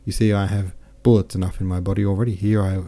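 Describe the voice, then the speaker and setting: A man's voice: sits low, level tone, fast, dark tone. A man voicing a jovial, boastful French army captain who chatters in exclamations.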